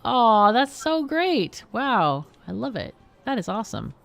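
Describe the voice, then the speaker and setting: A woman's voice: a little high, a little slow, gravelly. A woman hosting a casual game livestream, exclaiming and talking to herself as she plays. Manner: expressive, exclaiming, talks to herself while playing. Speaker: game streamer and host. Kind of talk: casual livestream gameplay commentary and chat.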